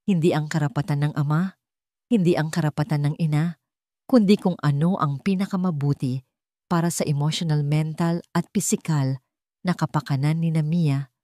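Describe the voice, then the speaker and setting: Woman acting a female courtroom judge, measured, authoritative, formal, lower pitch, dry studio sound, audio drama. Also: steady tone, mellow, dry recording, close to an everyday voice. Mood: calm, authoritative. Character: calm, authoritative female family-court judge delivering a verdict.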